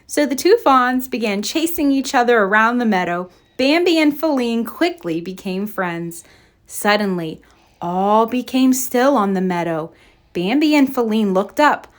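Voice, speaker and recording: A woman narrates a children's storybook in a fairly high voice. She reads very slowly and deliberately, giving each phrase expressive shape. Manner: expressive, deliberate read-aloud. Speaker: storybook reader.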